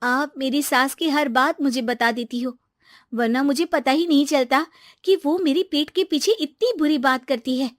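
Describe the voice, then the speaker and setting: A woman voicing an earnest, sweet, complaining young daughter-in-law in an animated family comedy. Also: high-pitched, normal speed, dry recording, studio quality, pitched above the performer's own voice, lightly acted. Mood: plaintive, flattering.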